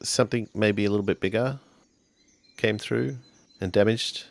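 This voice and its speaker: A man's voice: lowish voice, steady tone, moderate pace, gravelly. A man playing an unsure kid in improvised tabletop roleplay, talking hesitantly and informally. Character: unsure kid caught in a mystery adventure.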